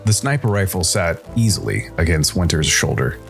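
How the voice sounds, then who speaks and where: A man's voice: deep voice, big pitch swings, moderate pace, vocal fry, loud music behind the voice. A man narrating scripted noir science fiction as the host of a storytelling show.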